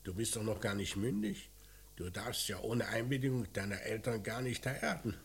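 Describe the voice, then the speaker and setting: Gruff, gravelly police inspector. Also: male voice, fairly low pitch, expressive, normal speed, exaggerated voice. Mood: dry, insistent. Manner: curt, sardonic, bossy. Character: gruff, commanding male police inspector, middle-aged, needling interrogator. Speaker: actor in a radio crime drama. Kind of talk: German radio play acting.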